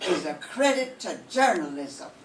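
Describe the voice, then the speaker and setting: A woman's voice: fairly low pitch, big pitch swings, measured pace. Brief: older woman as a salty, ungrammatical landlady; far, roomy amateur recording. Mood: wry. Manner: folksy, blunt, ungrammatical speech. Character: brassy widowed boarding-house landlady. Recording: roomy classroom, far from mic, faint hiss.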